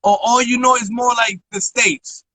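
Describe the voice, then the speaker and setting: Bright, very high-voiced male host. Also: normal speed, no echo, rough recording. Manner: emphatic, keeps asking "you feel me". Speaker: interview host. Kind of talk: casual interview chat. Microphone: phone on a live stream.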